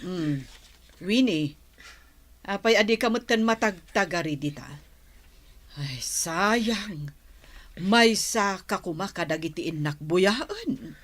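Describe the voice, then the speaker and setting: Woman as fussing mother, lowish pitch, swooping intonation, chatty, affectionate, audio drama. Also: lively intonation, slow, long pauses, fairly bright tone, exaggerated voice. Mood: coaxing. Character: affectionate, fussing middle-aged village mother. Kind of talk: Ilocano audio drama acting.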